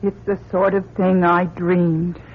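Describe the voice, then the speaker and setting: An earnest doctor aboard a spaceship in an old radio play. His high voice moves slowly and formally, with a husky grain. The recording is thin and phone-like. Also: little pitch movement, exaggerated voice. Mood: awed. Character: earnest, reasoning astronaut doctor. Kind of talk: vintage radio drama acting.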